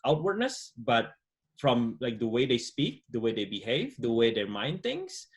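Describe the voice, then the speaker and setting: A man, chatting as an interview guest over a video call, relaxed and full of "you know" fillers. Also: mid-range voice, unhurried. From Indonesia. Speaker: guest entrepreneur. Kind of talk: casual interview chat. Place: home room, indoors. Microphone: video call webcam.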